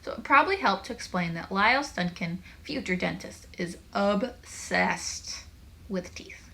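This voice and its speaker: Bright-voiced woman reading a children's book. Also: mid-range voice, unhurried, creaky, slight background noise. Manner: animated, chatty asides to young listeners. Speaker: teacher reading a book aloud. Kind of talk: expressive children's read-aloud with asides.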